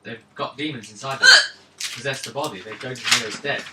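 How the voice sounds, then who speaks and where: A man's voice: mid-range voice, fast. A man acting as game master, casually explaining turns and rulings at a tabletop game. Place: indoors at a gaming table. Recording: echoey room, distant mic, clear noise.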